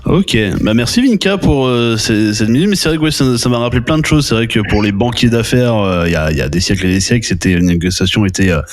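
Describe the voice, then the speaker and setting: A man hosting a casual talk show who speaks haltingly and fills pauses with "euh". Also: medium pitch, slight background noise. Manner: halting, many fillers. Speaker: show host.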